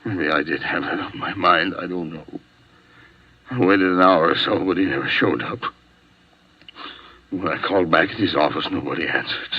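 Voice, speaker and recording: An actor playing a hot-tempered, jealous and desperate husband on the run, intense and menacing or pleading, in 1950s radio drama style. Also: male voice, sits low, normal speed, long pauses, husky. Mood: bitter, weary. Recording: dull, lo-fi old radio recording.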